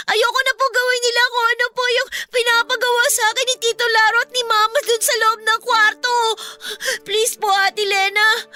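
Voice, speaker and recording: A woman's voice: extremely high pitch, pushed and bright. A woman playing a frightened, loyal young girl in a radio drama, polite and pleading.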